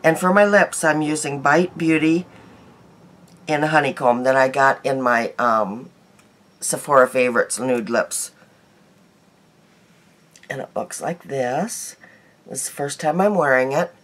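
A middle-aged woman vlogging her daily makeup in a small bedroom, chatty and homey, her voice hoarse from a cold. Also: very deep voice, measured pace, long pauses, a bit bright. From United States. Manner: chatty, homey, laughs easily, trails off. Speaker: beauty vlogger. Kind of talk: casual daily makeup chat to camera.

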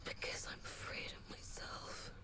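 An actress playing a distressed woman questioned by police, speaking in a hushed, breathy voice. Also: unhurried, in a whisper, natural voice. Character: distressed woman questioned about a missing nanny. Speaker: film character. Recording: film trailer audio over loud music.

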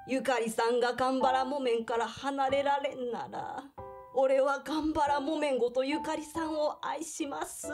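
A woman's voice: sits high, darkish tone. An actress playing a plain-spoken grandmother in her eighties in an audio drama, reminiscing. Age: 80s. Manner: slow, reminiscing, folksy. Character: elderly Japanese grandmother, plain-spoken, reflective, devoted to family.

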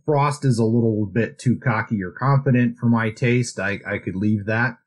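A man co-hosting a podcast, talking through a casual book discussion in a conversational, measured way. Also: on the low side. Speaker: podcast co-host.

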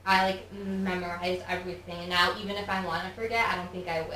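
A young woman talking casually in a studio interview, peppering her speech with fillers. Her voice is bright and a bit low in pitch, heard from a little distance in a roomy space. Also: flat delivery, fast talker, a little hiss or hum. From United States. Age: young adult. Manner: chatty, many "like" fillers. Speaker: guest. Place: studio with office chairs.

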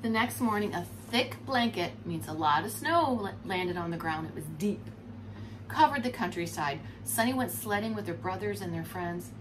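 Expressive woman reading a storybook, very lively pitch. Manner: expressive, explains words to children. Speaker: preschool teacher. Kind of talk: storybook read-aloud for young children. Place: furnished room at home, indoors. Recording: clear background noise.

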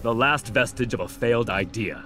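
Man playing a scornful warrior, mid-pitched. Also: normal speed, very noisy, lightly acted. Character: scornful, self-assured male warrior. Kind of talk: video game fighting dialogue.

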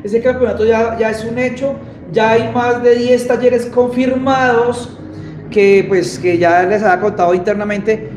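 High-voiced Colombian man, echoey workshop. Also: steady tone, measured pace, a little mellow. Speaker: host. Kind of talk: casual live-stream talk, announcing a championship. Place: small motorbike workshop, indoors. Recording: echoey room with clear background noise.

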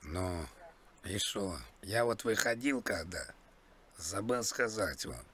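A man chatting casually as a prank caller, mock-polite and crude, with a gravelly, husky voice. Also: on the low side, expressive, slow pace, fairly bright tone. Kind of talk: casual prank phone chat.

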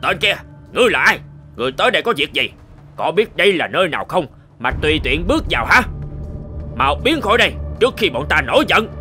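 A man's voice: fairly high pitch, sing-song, quick pace, sharp tone, soft background music. A man voicing a boastful, bullying green demon henchman from the underworld in a cartoon. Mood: threatening. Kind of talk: cartoon villain acting.

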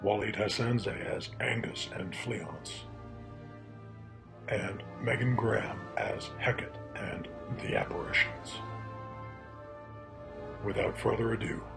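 A man announcing a radio play with a slow, deliberate delivery and a gravelly low voice. Music plays clearly behind him. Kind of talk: formal radio drama introduction.

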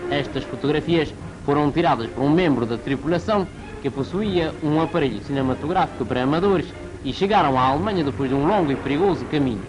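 A man's voice: on the high side, quick pace, over music, low-quality recording. A man reading scripted 1940s wartime newsreel narration in a formal, declamatory announcer style, on an old film soundtrack.

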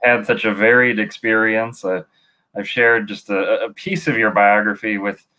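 A young man hosting a video call interview, asking questions with halting, repeated words. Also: on the low side, steady tone, sharp tone, rough recording. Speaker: interview host. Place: indoor room. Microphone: webcam on a video call.

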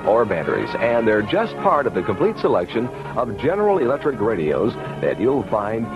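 A male announcer delivers a scripted radio advert in a lowish, very animated voice. Loud music plays behind him, and the vintage recording is somewhat dull. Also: moderate pace. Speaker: commercial announcer.

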